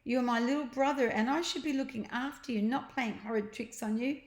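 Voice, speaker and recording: Storybook big sister, performed by a woman: girlish, high, emphatic, bossy yet tender, roomy sound. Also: a little fast, lightly acted. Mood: earnest. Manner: emotional, emphatic. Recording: echoey, roomy home recording.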